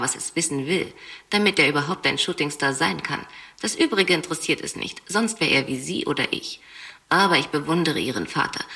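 A woman's voice: sits low, creaky, sharp tone, exaggerated voice. An actress playing an agitated, brooding woman in a wordy, biting monologue from a German radio play.

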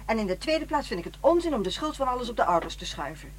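A woman playing a brisk, unsentimental middle-aged ex-wife in a radio drama, matter-of-fact and dismissive. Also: a little high, big pitch swings.

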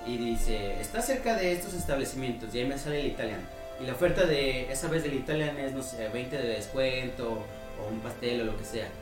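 A man's voice: medium pitch, fairly quick. Man, Mexican Spanish accent, rambling podcast host, roomy echo, music behind. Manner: rambling, explains step by step. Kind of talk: casual chat.

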